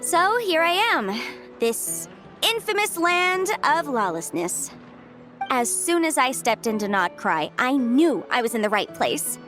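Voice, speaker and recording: Perky young lawyer girl from a video game, high voice, swoops around a lot, music behind. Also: unhurried, heavily acted. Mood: chatty, upbeat. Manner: bubbly, chatty, expressive. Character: cheerful young female legal consultant with adeptus blood. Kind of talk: animated video game voice acting.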